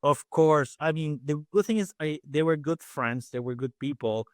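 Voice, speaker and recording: A man in his forties from Peru with a Peruvian Spanish accent, Spanish being his first language, chatting as an interview guest, talking fast with many restarts and fillers. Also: a little high, no room sound, clean studio sound. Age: 40s. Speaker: guest dentist and faculty. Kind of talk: casual interview chat.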